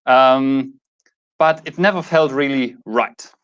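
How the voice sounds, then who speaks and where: A man's voice: mid-range voice, slow, a bit bright, clear, resonant tone, lo-fi sound. German-accented man in his thirties, hesitant delivery. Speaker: YouTube host. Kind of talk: casual talk to camera, introducing a channel.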